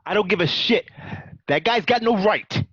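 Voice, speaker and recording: A man's voice: high-pitched, lively intonation, normal speed, a bit bright, breathy edge, dry recording, full character voice. A man acting a hot-headed, volatile gangster in an amateur radio play, foul-mouthed and aggressive. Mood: indignant, furious. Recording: dull lo-fi home recording.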